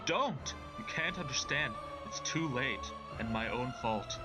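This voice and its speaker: A man voicing a terrified, despairing man calling from below in a horror story, hoarse, whispery and urgently pleading, over music. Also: medium pitch, animated, a little slow, voice pushed up, natural voice. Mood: frantic pleading. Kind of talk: dramatic horror story reading. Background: loud background music.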